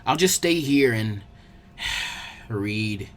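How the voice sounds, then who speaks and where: A man's voice: fairly low pitch, animated, very slow, fairly bright tone, pitched below the performer's own voice, heavily acted. A man voicing a brash young boy ninja, eager, boastful and given to whining, in an audiobook-style story. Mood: begrudging. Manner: boastful, whiny.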